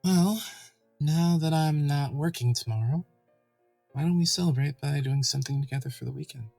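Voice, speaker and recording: A man's voice: on the high side, normal speed, gravelly, mellow, faint music behind. A man playing a loving young pony husband in an acted audio fan fiction, speaking earnestly. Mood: earnest, fond. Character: young stallion husband, fed up with work, loving, upbeat.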